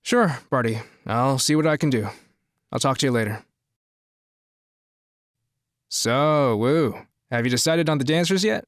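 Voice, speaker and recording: An actor playing a persuasive, scheming rich promoter in an audio drama, talking in a businesslike way. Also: male voice, medium pitch, a little slow, pauses often, no echo, clean studio sound, close to an everyday voice. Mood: placating.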